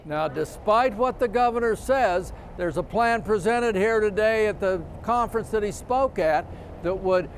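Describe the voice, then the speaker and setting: High-pitched male TV news reporter. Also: measured pace, a little hiss or hum. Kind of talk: news report, live and scripted.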